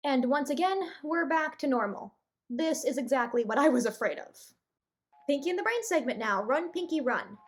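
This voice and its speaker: A woman reviewer giving casual, opinionated cartoon commentary with animated asides and exclamations. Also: high voice, moderate pace. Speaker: video reviewer.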